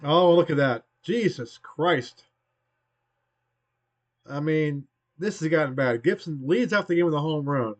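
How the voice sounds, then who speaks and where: Hoarse man with a cold. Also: fairly high pitch, animated, a little slow, pauses often, muffled recording. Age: 40s. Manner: rambling, conversational, trailing off. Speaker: game replay host. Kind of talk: casual play-by-play of a baseball game replay.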